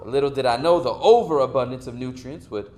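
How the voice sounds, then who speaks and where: A man's voice: mid-range voice, brisk, a little mellow, a little hiss or hum, close to an everyday voice. A man playing an earnest, searching young man. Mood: reflective. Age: young adult. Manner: earnest, reflective storytelling. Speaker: lead actor in a stage play. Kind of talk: stage play monologue.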